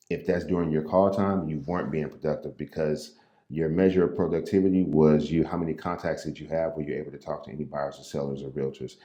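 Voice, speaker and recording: A man from St. Louis with an exceptionally deep, dark, mellow voice talks other agents through their habits in a loose, unscripted coaching style. His delivery is even and close to monotone, with small restarts and fillers. He stands at his call desk in an echoey room. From Midwest US, St. Louis. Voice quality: naturally low, somewhat monotone voice. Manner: unhurried, loose and conversational, with repeated words and fillers. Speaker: real estate agent and coach. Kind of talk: impromptu coaching talk to camera.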